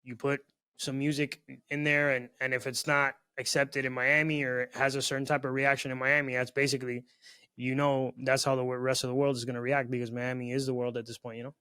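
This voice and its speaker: A young man from South Florida hosting a podcast, talking in a relaxed, chatty way with lots of "bro" and "you know what I mean.". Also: mid-range voice, a little fast, smooth. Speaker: podcast host. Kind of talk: casual chat.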